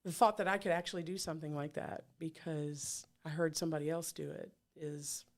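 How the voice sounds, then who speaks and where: A woman's voice: deep voice, fairly even intonation, brisk. A middle-aged woman hosting an interview, chatting casually and rambling with many fillers and restarts. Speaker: podcast host.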